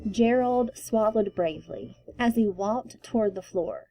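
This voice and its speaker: Slow, mellow woman reading a storybook. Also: on the high side, dry recording, rough recording. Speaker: read-aloud storyteller. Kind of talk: expressive children's storybook reading.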